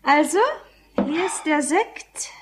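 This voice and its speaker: An actress playing a worldly young barmaid in a radio drama, offhand, knowing and guarded. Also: female voice, sits high, slow, noisy background. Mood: cool teasing. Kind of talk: naturalistic German radio drama acting.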